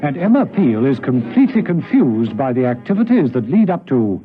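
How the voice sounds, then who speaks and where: A man's voice: mid-range voice, sing-song, normal speed. A man narrating a spy radio serial in a dramatic, scripted storytelling style. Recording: muffled vintage radio recording, music behind. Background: music.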